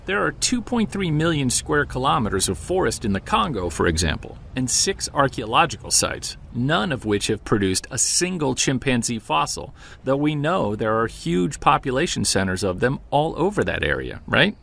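A man's voice: mid-range voice, very animated, moderate pace, vocal fry, few pauses, fairly bright tone, a little hiss or hum. A man playing an earnest, wry, self-deprecating amateur monster hunter in a naturalistic audio drama. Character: driven, self-deprecating male amateur Bigfoot investigator.

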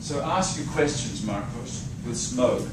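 A middle-aged man coaching a trainee teacher, brisk, directive and encouraging. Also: mid-range voice, lively intonation, very noisy. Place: large classroom. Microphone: far camera. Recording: echoey room from far off.

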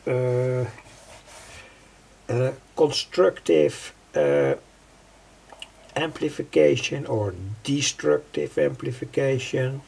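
Older man, very creaky husky voice, halting tutorial delivery, repeats himself. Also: medium pitch, animated, a little mellow. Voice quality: husky, gravelly. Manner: halting, repetitive, pieced-together phrases. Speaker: electronics hobbyist presenter. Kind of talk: unscripted tutorial explanation. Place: indoors at a worktable.

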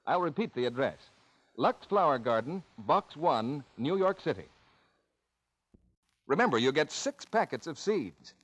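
A man's voice: on the high side, sing-song, a little slow, pauses often. A man announcing a 1940s radio advertisement in a formal, instructive manner. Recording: dull old radio recording.

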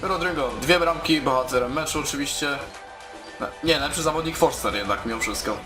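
A man's voice: fairly high pitch, brisk. A man giving casual commentary as he plays a video game, exclaiming and muttering along. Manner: exclaims and mutters while playing. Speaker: gaming video host. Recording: music behind the voice.

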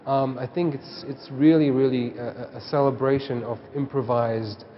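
A man's voice: medium pitch, steady tone, normal speed, slight background noise. Guy in his thirties, festival director, lots of ums, street outside, kinda dull sound. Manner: hesitant, many ums. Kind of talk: interview. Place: outdoors on a city street.

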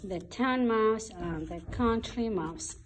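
A woman reading a children's story aloud like a teacher, in a deliberate, simple way. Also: a little high, a little hiss or hum. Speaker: teacher reading a picture book.

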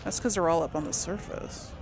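A woman's voice: fairly low pitch, fairly quick, vocal fry, music in the background. A woman streaming a role-playing game, thinking aloud in casual, chatty commentary. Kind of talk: casual let's play commentary.

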